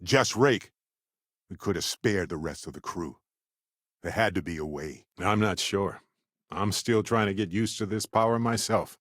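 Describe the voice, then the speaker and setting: An actor playing a chatty, good-natured space explorer in a video game, speaking in a playful, conversational way with wry expressiveness. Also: male voice, sits low, measured pace, fairly bright tone, no echo, clean studio sound. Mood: reflective, low-key. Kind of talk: video game companion voice lines.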